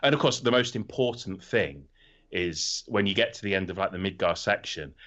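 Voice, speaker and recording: A man's voice: sits low, animated, moderate pace, a bit bright, dull audio. A middle-aged man hosting a casual podcast about video games, talking informally and swearing freely. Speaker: podcast host.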